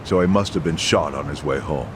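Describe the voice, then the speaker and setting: An actor playing a wary, streetwise underworld informant with casual confidence in a video game scene. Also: male voice, rumbling low, a little fast, background noise. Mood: wary, matter-of-fact. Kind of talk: video game dub acting.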